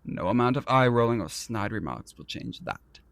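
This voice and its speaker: An actor playing an arrogant, vain and petulant prince in a fantasy audio roleplay, speaking in a pompous, theatrical and condescending manner. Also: male voice, mid-range voice, expressive, normal speed, vocal fry, no echo. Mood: petulant, indignant. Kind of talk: scripted ASMR roleplay audio drama.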